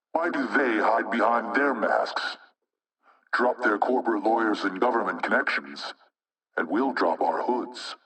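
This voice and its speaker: Creepy insinuating hacker collective spokesman for a game, preachy, low voice, sounds off mic like a radio broadcast. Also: fairly bright tone, far from the mic, poor audio. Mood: menacing, defiant. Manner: measured, rhetorical, insinuating. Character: ominous anonymous male hacktivist spokesman, preaching and menacing. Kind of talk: scripted propaganda broadcast in a video game.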